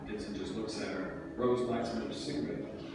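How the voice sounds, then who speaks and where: A man's voice: fairly low pitch, steady tone, brisk. Male script narrator in a very echoey hall. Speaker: narrator reading stage directions. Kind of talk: scripted narration at a table reading. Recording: echoey hall from far off, music behind.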